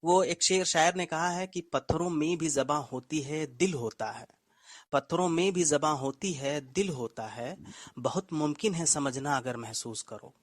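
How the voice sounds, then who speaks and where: Male writer and co-host: slightly high voice, effusive and courteous, poetic turns, dry sound. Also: a little slow, no room sound. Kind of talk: casual, appreciative show conversation.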